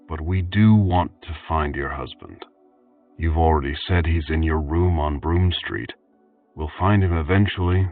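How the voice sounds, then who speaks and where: A man voicing a stern, coldly determined hero of a fantasy story, measured and commanding as he questions. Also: a bass voice, far from the mic, narrow, tinny audio, exaggerated voice.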